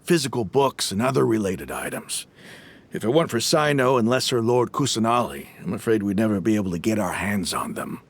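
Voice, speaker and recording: A man's voice: fairly low pitch, animated, a little fast. A man playing a loyal, respectful desert mercenary in a video game, solemn and sincere. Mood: hopeful. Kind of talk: video game dialogue acting. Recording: guitar game music behind voice.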